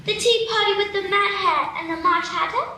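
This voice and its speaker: A woman playing a polite, puzzled young girl with a very high, bright voice, asking earnest questions. The voice comes from a distance, off a live stage in a very echoey room. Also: a little fast, slight background noise. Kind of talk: live radio play acting.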